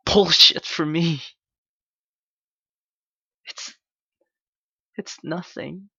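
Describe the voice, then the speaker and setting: A clingy, wounded young male yandere, performed by a man pours out his heartbreak in an intimate ASMR roleplay. His voice is breathy and rough, and he speaks extremely slowly, halting and pleading. The recording is dry and slightly muffled. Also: high voice, a bit bright, dry recording, exaggerated voice. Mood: bitter, agitated. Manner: slow, halting, pleading, anguished delivery.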